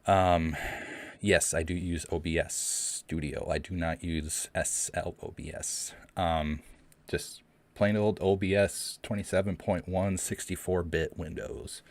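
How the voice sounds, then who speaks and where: A young man with a deep voice hosts a casual live Q&A, rambling with many ums and restarts, and his voice shakes a little from the cold. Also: fairly even intonation, measured pace. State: shaky voice from the cold. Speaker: streamer hosting a live Q&A. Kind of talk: casual livestream chat. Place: cold room at home. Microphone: home streaming mic.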